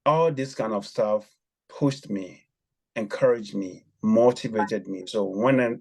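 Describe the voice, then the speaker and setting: A man from Ghana, a podcast guest telling his story with many pauses and ums. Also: lowish voice, creaky, a little mellow, slightly rough, low-quality recording. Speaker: guest, former javelin athlete.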